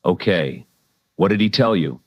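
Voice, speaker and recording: A man playing a calm, wry late-night radio host with measured dry humor in a radio drama, slightly muffled. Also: low-pitched, slightly rough, full character voice.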